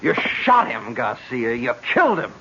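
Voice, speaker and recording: An actor playing a protective, concerned husband in an old radio drama. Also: male voice, medium pitch, big pitch swings, normal speed, sharp tone. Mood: shocked, urgent. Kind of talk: 1970s radio drama acting. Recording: muffled old radio broadcast, faint noise.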